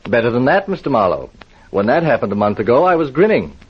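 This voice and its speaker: A man's voice: mid-range voice, sing-song, quick pace, husky. A man playing a polite, evasive middle-aged dress designer with an American accent, in 1940s radio acting style. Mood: polite, defensive. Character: guarded, polite male dress designer, forty-five. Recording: dull vintage radio recording.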